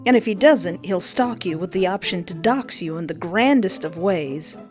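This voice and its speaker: A young woman hosting a countdown of game characters, excitable and goofy, often interrupting herself. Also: fairly low pitch, normal speed, soft background music. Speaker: youtube host.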